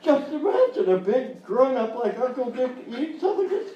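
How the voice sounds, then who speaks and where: An actor playing a falsely cheerful, patronizing children's TV host in a live comedy parody. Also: male voice, very high voice, big pitch swings, moderate pace, muffled recording. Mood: strained cheer. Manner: exaggerated jolly, patronizing. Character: forced-cheerful grown-up male children's TV show host. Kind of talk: parody of early local kids' TV, live sketch acting. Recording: roomy live stage, distant mic, faint noise.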